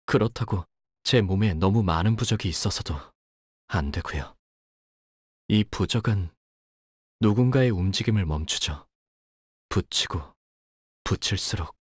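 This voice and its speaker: A male actor playing a solemn, polite young curse wielder in a video game, speaking in a measured, subdued, formal way. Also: sits low, slightly rough, no echo, studio quality. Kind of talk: video game character voice lines.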